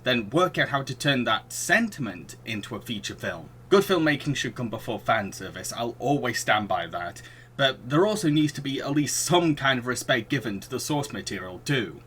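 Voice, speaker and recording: Bright-voiced man hosting a podcast. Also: medium pitch, big pitch swings, moderate pace, a little hiss or hum. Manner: laughs often, quotes his own reactions. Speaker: podcast host. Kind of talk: casual podcast chat.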